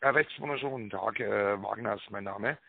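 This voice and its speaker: A man giving a rehearsed telephone sales pitch, full of tag words and hesitations, with a raspy voice. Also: fairly quick, dry recording. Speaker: telemarketing caller pitching a prize draw. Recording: narrow tinny phone line.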